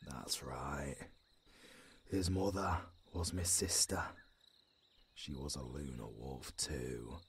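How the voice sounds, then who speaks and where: A man with a British accent voicing a gruff, haunted old werewolf warrior in an audio roleplay, with a husky growl and a brooding delivery that drops toward a whisper. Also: low voice, heavily acted. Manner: slow, brooding, half-whispered with sudden gruff rises. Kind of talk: dramatic ASMR audio roleplay.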